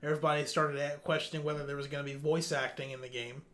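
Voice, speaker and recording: A man's voice: on the high side, little pitch movement, fast talker, fairly bright tone. A man hosting a casual gaming news discussion, talking bluntly and informally, with some loose swearing. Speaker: gaming podcast host.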